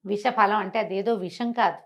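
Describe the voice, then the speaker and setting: A middle-aged woman telling a moral story in an interview, animated and acting out the characters' lines with a changing voice. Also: mid-range voice, moderate pace. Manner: expressive, acts out the characters' lines with shifting voice. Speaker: storyteller guest.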